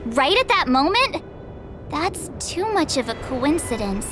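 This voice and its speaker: A woman's voice: high-pitched, sing-song, a little slow. A woman voicing a perky, impulsive, talkative young adventurer girl in an anime-style game, with a bubbly, informal delivery. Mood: curious, insistent. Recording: game music behind the voice.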